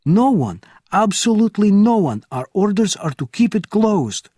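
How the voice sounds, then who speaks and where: A man voicing an overwhelmed male army captain who cries out loud, high and desperate. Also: very animated, moderate pace, husky, dry recording, studio quality, heavily acted. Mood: desperate, shouting.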